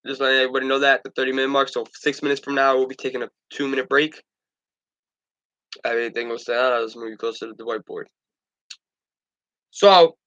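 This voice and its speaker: A man's voice: medium pitch, pushed and bright, muffled recording. A young man hosting a casual sports talk stream, stuffed up from allergies, rambling with stumbles and restarts.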